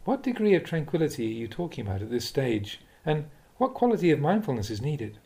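A man, a Buddhist monk, reading spiritual teachings aloud in a measured, deliberate, instructive way, like an audiobook of a talk. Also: on the high side, sing-song, vocal fry, mellow. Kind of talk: audiobook-style reading of a dharma talk with questions and answers.

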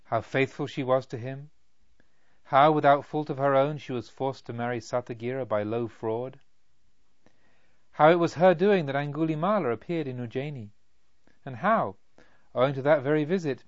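A man voicing a wise, gently detached spiritual master, serene and measured, in a storybook reading. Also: mid-range voice, no room sound, muffled recording, close to an everyday voice.

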